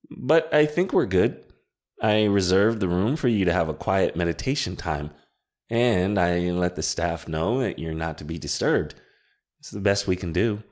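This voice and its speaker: A man voicing a calm, steady female witch in an audiobook, speaking in a measured, reassuring way. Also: fairly low pitch, pitched above the performer's own voice. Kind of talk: audiobook character voice.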